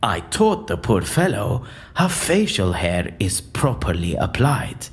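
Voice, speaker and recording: An actor playing an eccentric, erudite man in an audio drama, speaking in a florid, pompous and animated way. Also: on the low side, slow pace, exaggerated voice. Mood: chatty, whimsical. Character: eccentric, theatrical, erudite man, convinced his interrogation is staged.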